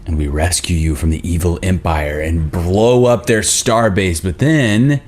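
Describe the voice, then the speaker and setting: A man acting an earnest, geeky boyfriend with a low voice. He sounds needy and conversational, as in a romantic drama table read. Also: a little slow, darkish tone, a little hiss or hum. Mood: earnest, hypothetical. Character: earnest, needy male boyfriend posing geeky hypothetical questions. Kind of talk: conversational script reading.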